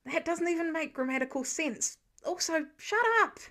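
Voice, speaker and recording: A woman's voice: very high-pitched, normal speed, pushed and bright, pitched above the performer's own voice, heavily acted. A woman voicing an exasperated, competitive male superhero who snaps irritably in a story reading. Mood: irritated. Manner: irritated, snappy.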